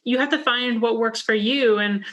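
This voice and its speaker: A woman's voice: a little high, normal speed, fairly bright tone, muffled recording. A woman hosting a podcast, chatting casually with plenty of "like" and relating her own experiences. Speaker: podcast host. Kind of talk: casual interview chat.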